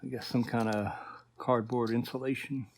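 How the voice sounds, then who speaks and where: A man narrates a casual workbench repair vlog in a mid-pitched voice. He speaks informally, thinking aloud as he works. The recording has noticeable noise and clicks behind him. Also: fairly even intonation, normal speed. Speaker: host of an electronics repair video.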